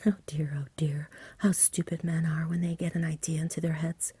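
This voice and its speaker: An earnest village boy, as whispered by a woman reading a storybook, pleading and a little impatient. The voice is very breathy and dark, made for ASMR. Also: deep voice, little pitch movement, moderate pace, no room sound. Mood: distressed, pleading. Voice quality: soft, whispery. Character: earnest, impatient young village boy defending his friend.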